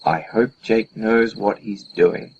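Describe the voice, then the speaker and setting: A man's voice: lowish voice, takes it slowly, highs cut off. Actor as exasperated sidekick guy, noisy background. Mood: incredulous. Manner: dry, weary, incredulous. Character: exasperated, sceptical sidekick friend of a detective, young man. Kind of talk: comic audio drama acting. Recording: clearly noisy background ambience.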